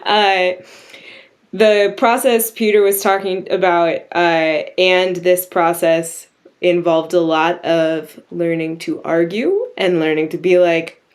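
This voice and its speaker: A woman's voice: lowish voice, fairly even intonation, slow. A woman, a drama writer, answering candidly in an interview and searching for her words.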